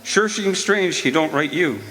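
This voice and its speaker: A man's voice: on the high side, expressive, a little slow, sharp tone, a little hiss or hum. A man playing a folksy small-town fellow in a radio drama, speaking in plain rustic phrases with an insinuating manner. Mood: needling, skeptical. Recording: reverberant hall.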